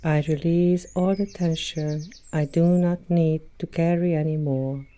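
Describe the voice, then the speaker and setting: A woman leading a guided yoga nidra meditation as an instructor, speaking in a soothing, gentle manner with long pauses between phrases. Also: deep voice, fairly even intonation. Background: birdsong and nature sounds.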